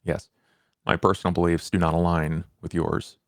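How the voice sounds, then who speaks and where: A man playing a tabletop game, casually roleplaying in a terse, deadpan manner. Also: deep voice, moderate pace, no echo, studio quality.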